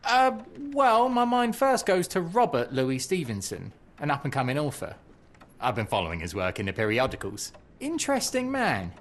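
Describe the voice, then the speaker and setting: A man's voice: on the high side, moderate pace. A man playing an eager, nervous, bookish fellow who speaks politely and hesitantly in a video game. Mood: eager, helpful. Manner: hesitant, stammering, courteous, excitable. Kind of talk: scripted video game cutscene acting.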